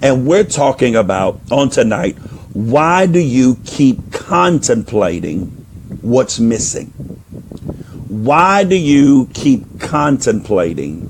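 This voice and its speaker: A man speaking as a life coach and minister, preaching on a live stream into a microphone. His voice is hoarse and nearly gone, and he repeats phrases as he urges listeners on. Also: medium pitch, very animated, takes it slowly, a little hiss or hum. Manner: repeats phrases for emphasis, urging and exhorting. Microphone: microphone, put on partway through.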